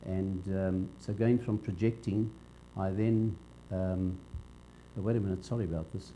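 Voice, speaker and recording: An older man with a South African accent recalls his working life in an interview, speaking in a measured, halting way. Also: steady tone, mellow. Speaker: interviewee, veteran cinema cameraman. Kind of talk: on-camera reminiscence. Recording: dull low hum, faint background noise.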